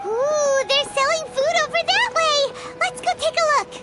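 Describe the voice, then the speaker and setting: A woman's voice: extremely high pitch, normal speed. A woman voicing a tiny, childlike, bubbly fairy companion in a video game, exclaiming eagerly. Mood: excited. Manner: refers to self in third person, bubbly, exclamatory. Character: tiny excitable floating fairy girl companion, childlike, food-loving. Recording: playful music behind the voice.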